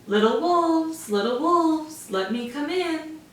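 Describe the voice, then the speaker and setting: A woman voicing a big bad male pig, a gruff bully, drawing out each word slowly as she reads a storybook aloud. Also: high-pitched, expressive, voice pushed up. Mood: menacing. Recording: echoey room, mic far off.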